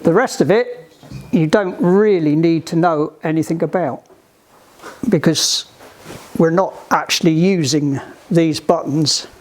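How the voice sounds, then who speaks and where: A man giving hands-on instruction to a group in a halting, deliberate, emphatic way, on an amateur recording. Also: fairly high pitch. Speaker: sailing club instructor giving radio training.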